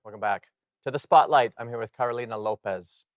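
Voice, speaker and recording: A man's voice: mid-range voice, brisk, over music. A middle-aged man hosting an interview show, earnest, often murmuring agreement. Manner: earnest, frequent backchannel murmurs. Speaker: talk show host.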